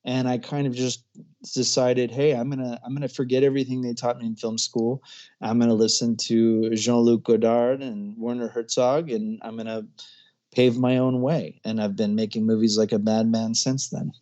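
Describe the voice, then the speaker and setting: A man's voice: medium pitch, little pitch movement, moderate pace, dark tone, muffled recording. A man in his thirties, a filmmaker guest in a casual interview, chatty and often laughing as he talks. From grew up overseas, American parents.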